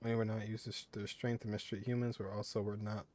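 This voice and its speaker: Chill gamer guy, kinda low voice, thinking out loud while he plays. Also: level tone, a little fast. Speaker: gaming streamer. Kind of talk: casual game playthrough commentary.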